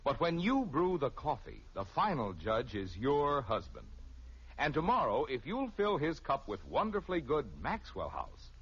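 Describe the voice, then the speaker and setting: A man's voice: fairly high pitch, wildly swooping pitch, normal speed, fairly bright tone, slightly rough. An American man reading a scripted commercial as a mid-century radio announcer. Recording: muffled old radio recording.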